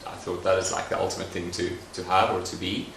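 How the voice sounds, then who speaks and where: A young man speaking in a documentary interview, thoughtful and soft-spoken with frequent pauses and fillers. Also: sits low, level tone, gravelly, a little hiss or hum. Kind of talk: reflective personal interview. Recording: roomy echoey recording.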